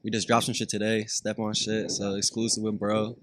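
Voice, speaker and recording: A man's voice: on the low side, monotone, brisk, slight background noise. A man guest who sells clothes and DJs, talking casually in a matter-of-fact way. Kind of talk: casual podcast chat.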